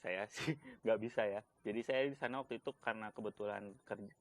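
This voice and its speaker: A man's voice: on the low side, a little fast. A man from Indonesia with a Jakarta accent, talking casually as an interview guest in loose, informal phrases. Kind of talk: casual chat.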